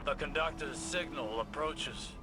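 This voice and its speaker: A man playing a solemn, cryptic observer in a video game, speaking gravely in measured, ornate phrases. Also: on the high side, lightly acted. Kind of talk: scripted video game dialogue. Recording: voice amid very loud game noise.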